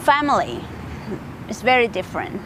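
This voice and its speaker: A woman's voice: medium pitch, big pitch swings, moderate pace, a bit bright, a little hiss or hum, poor audio. A woman from Honduras, a native Spanish speaker with a Honduran accent, giving a personal interview account.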